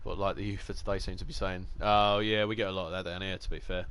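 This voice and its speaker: Chatty Essex man with a low voice. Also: flat delivery, fast talker, fairly bright tone, clean tone. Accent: Essex English. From Essex, England. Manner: chatty, jokey, rambling with restarts. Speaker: gaming streamer. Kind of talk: casual live-stream commentary.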